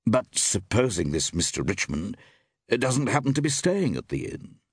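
Man playing a courteous gentleman traveller with lively intonation. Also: fairly low pitch, breathy edge, no room sound, studio quality, full character voice. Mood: helpful, curious. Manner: polite, measured, well-mannered. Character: courteous, easygoing gentleman traveller, mildly amused.

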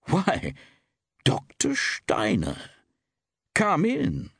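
A man's voice: medium pitch, huge pitch swings, drawn-out words, fairly bright tone, husky, no room sound, clean studio sound, voice pushed up, full character voice. A man voicing an urbane, affable and inquisitive novelist in an audiobook, polite and direct. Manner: polite, direct, well-spoken. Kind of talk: audiobook character voice.

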